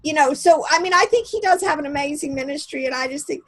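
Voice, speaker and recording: A woman on a group video call speaking enthusiastically but haltingly, often trailing off mid-thought. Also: high voice, fairly bright tone. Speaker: call participant.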